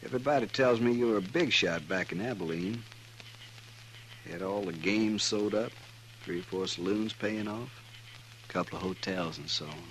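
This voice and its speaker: A cocky cowhand drifter acted by a man in an old radio western. His voice is low and insinuating, with quiet menace, on a slightly noisy 1950s recording. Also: normal speed, natural voice. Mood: needling, sly. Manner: needling, insinuating.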